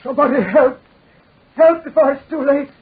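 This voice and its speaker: An actor playing a wounded, desperate doctor, weak and in pain, in a 1940s radio drama. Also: male voice, extremely high pitch, normal speed. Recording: muffled scratchy 1940s radio recording.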